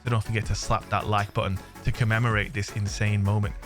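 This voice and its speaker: A young man with an English accent and a somewhat low voice commentates over gameplay in a chatty, slang-filled way, joking with his viewers. He sounds a little under the weather. Quiet music plays faintly behind him. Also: level tone, quick pace. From England. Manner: chatty, jokey, slangy, addresses viewers as friends. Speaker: gaming channel host.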